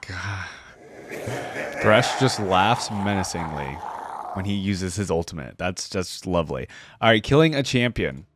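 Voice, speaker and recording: A man's voice: deep voice, expressive, a little slow, heavy background noise. A man hosting a reaction video, giving casual, rambling gaming commentary as he thinks aloud.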